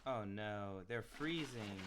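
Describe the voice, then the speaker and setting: Lower-voiced man chatting on a game stream. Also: slow, heavy background noise. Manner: chatty, reacts to chat, excitable exclamations. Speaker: live streamer. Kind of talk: casual live-stream chat while gaming.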